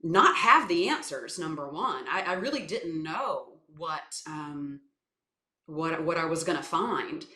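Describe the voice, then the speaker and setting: A woman from South Carolina with a naturally low voice, giving thoughtful, hesitant interview answers full of fillers and restarts. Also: expressive, slow pace, bright, forceful tone. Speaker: guest, voice coach and actor. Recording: echoey room.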